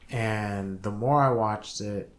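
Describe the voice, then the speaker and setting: Animated young man in casual chat. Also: fairly low pitch. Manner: animated, laughs often, quick exclamations. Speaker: podcast co-host.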